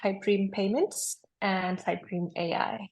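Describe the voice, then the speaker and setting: A woman's voice: mid-range voice, steady tone, takes it slowly, darkish tone. Upbeat young woman from Indonesia. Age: young adult. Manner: upbeat, explanatory, with frequent ums. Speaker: startup co-founder guest. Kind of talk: casual interview conversation.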